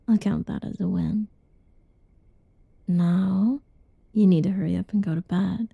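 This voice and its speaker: A young woman whispering softly in a teasing, cuddly ASMR roleplay, with giggles between lines. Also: lowish voice, steady tone, slow, vocal fry, breathy edge, no room sound, narrow, tinny audio. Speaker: roleplay narrator.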